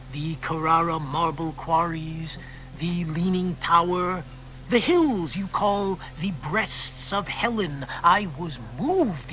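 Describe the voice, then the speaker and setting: An actor playing an eager young male poet who flatters an older writer in a radio play. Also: fairly high pitch, a little hiss or hum, low-quality recording. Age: young adult. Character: earnest young male admirer, persistent.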